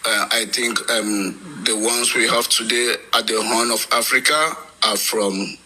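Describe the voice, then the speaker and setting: A man making his argument in a debate deliberately and haltingly, repeating phrases as he searches for words. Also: lowish voice, fairly even intonation, bright, forceful tone, a little hiss or hum, low-quality recording. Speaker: debate participant.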